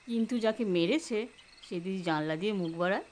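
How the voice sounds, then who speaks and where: A woman plays a worried middle-aged mother in an audio drama. She is medium-pitched, protective and insistent, with faint night noise behind her. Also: a little fast, darkish tone, lightly acted. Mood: fearful.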